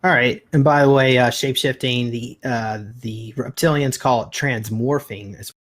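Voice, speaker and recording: A man's voice: medium pitch, steady tone, moderate pace. A man conducting a casual, conversational interview.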